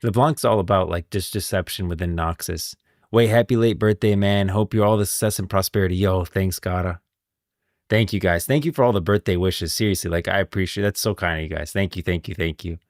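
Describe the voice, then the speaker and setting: Deep-voiced, dry-miked man streaming casually. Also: steady tone, fairly quick, no room sound. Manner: informal, slangy, thanks viewers often. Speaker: livestream host. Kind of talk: casual livestream chat and reaction commentary.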